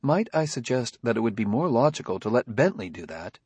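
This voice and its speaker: A man's voice: mid-range voice, sing-song, fairly quick, a little mellow, breathy edge, dry recording. A man voicing a courteous, unruffled humanoid robot who speaks formally and logically in an audiobook. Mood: calm, logical.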